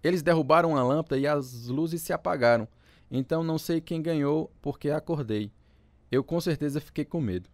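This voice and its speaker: A man's voice: on the high side, fairly quick, a little mellow, lightly acted. A man playing an agitated, earnest teenage boy in a radio drama. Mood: uneasy.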